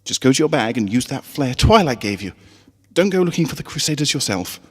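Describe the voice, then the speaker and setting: A man's voice: medium pitch, big pitch swings, fairly quick, slightly rough, in a large hall, pitched above the performer's own voice. A man voicing an anxious, motherly female pony schoolteacher from a story, fretful and earnest. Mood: self-calming.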